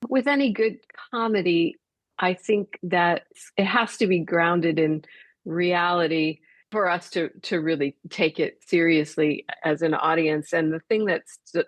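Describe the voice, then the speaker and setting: A woman with a fairly low voice speaks as a guest in a conversational radio interview. She explains things through vivid, detailed examples. The sound is close and dry, with no room around it. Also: fairly even intonation, measured pace, dry recording. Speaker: interview guest, theatre artistic director.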